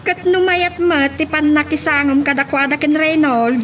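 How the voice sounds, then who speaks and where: A woman's voice: high-pitched, steady tone, moderate pace, a bit bright. A woman playing an inquisitive, peacemaking aunt in a radio drama, full of questions and exclamations. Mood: reasoning. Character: inquisitive, conciliatory aunt questioning her angry niece. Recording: clear background noise, ambience.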